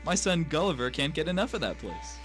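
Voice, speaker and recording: A man's voice: on the high side, big pitch swings, a little fast, fairly bright tone. A man playing brash, folksy American tough guys in a noir radio drama, talking theatrically in old-timey slang. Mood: cocky, chatty. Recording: music bed behind voice.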